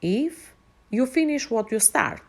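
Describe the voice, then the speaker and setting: A woman's voice: a little high, very animated, slow pace, gravelly, darkish tone, exaggerated voice. A woman voicing a thoughtful, careful young male pig in a storybook, earnest in manner. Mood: cautious.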